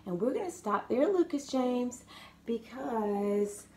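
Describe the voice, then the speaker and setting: A middle-aged woman, a grandmother, reading a picture book aloud to her grandchild in a living room, slow and gentle, livening up the character lines. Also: a little high, animated, a little hiss or hum. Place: living room couch, indoors. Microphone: phone or webcam in front of the couch.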